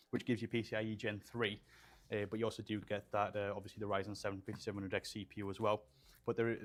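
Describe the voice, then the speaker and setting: Chatty young man on a livestream. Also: on the low side. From Poland, raised in England. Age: young adult. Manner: chatty, rambling with fillers, reads chat aloud. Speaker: livestream host building gaming PCs. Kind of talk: casual livestream chat. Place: small studio room with shelves.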